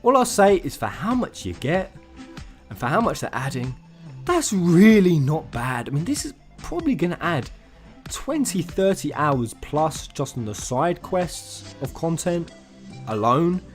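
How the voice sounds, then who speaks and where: A man with a slightly high voice talks casually as a gaming channel host, with music playing faintly behind him. His manner is excitable and informal, with loose, sweary phrasing. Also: measured pace. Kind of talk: casual gaming news commentary. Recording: music under the voice. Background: background music.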